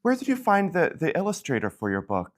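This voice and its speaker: A woman's voice: low-pitched, huge pitch swings, a little fast. A woman hosting a local TV interview, asking friendly questions and affirming her guest. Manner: affirming, encouraging. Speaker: TV show host. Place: local TV studio.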